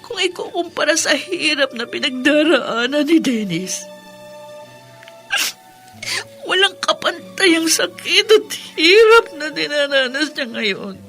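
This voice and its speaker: A woman's voice: high voice, fairly bright tone, full character voice. A woman playing a devoted, grief-stricken wife in a Filipino radio melodrama, pleading tearfully. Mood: sorrowful resolve. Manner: tearful, pleading, trembling delivery.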